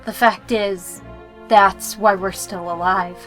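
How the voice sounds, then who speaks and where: A woman playing a brisk, grave military major in a sci-fi audio drama, speaking in a firm, businesslike way. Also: mid-range voice, steady tone, a bit bright, soft background music. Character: brisk, grave female major leading fighter pilots.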